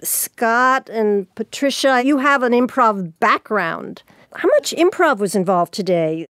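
A woman's voice: on the high side, animated, unhurried, fairly bright tone, no room sound, studio quality. A woman hosting a radio show, speaking as an interviewer and presenter. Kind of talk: interview hosting and scripted credits.

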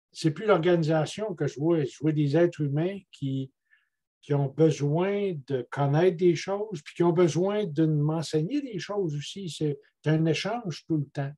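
An older man speaks with a Quebec French accent, his voice a little high yet mellow in tone. He talks casually from a room at home on a video call, wandering and repeating words as he goes. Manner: rambling, repeats words while searching for them. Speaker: guest speaker, traditional elder. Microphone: earbuds on a video call.

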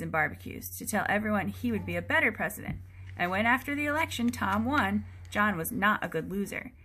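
Female, teacher doing an expressive picture-book read-aloud, mid-pitched, clear explanatory delivery for kids. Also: slight background noise. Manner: expressive, explanatory, pauses to question listeners.

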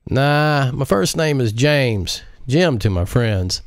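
A man's voice: medium pitch, animated, slow pace, no room sound, studio quality, voice pushed up. A man voicing an easygoing, charming male helicopter pilot in an audiobook.

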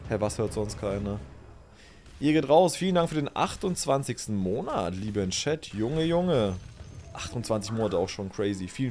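A man's voice: mid-range voice, a little mellow, a little hiss or hum. A young man, chatting casually to his stream chat while playing, thinking aloud and thanking viewers. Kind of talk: casual game commentary to chat. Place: small indoor room.

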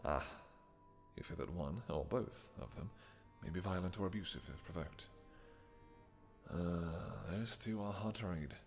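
A man playing a suave male vampire king, smooth, flirtatious and dryly amused, in an audio roleplay. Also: sits low, a little slow. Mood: teasing. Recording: long reverb with music behind.

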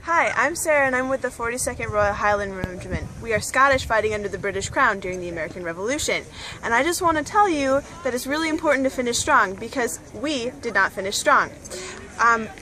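A woman's voice: on the high side, expressive, brisk, bright, forceful tone, rough recording. A woman historical reenactor speaking casually to camera outdoors. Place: outdoor encampment.

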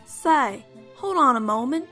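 A woman's voice: sits high, animated, measured pace, sharp tone, soft background music, exaggerated voice. A woman voicing a hearty, outspoken country farm mare in a folksy, emphatic way.

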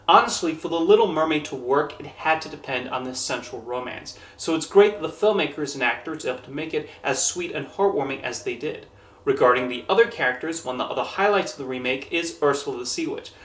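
A man in his thirties delivering a scripted movie review to camera in a measured, earnest way, standing in a small room. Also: medium pitch, fairly bright tone, reverberant. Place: small indoor room with posters and shelves. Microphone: camera at a few feet, standing.

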